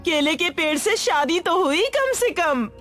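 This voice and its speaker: Woman playing a high-pitched village gossip. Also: big pitch swings, measured pace, a bit bright, soft background music, exaggerated voice. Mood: mocking. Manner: sarcastic, gossipy.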